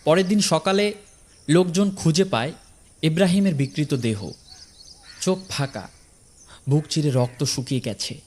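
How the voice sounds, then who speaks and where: A man's voice: a little high, normal speed, long pauses, a little mellow. A man narrating a horror story in a dramatic, scripted storytelling style.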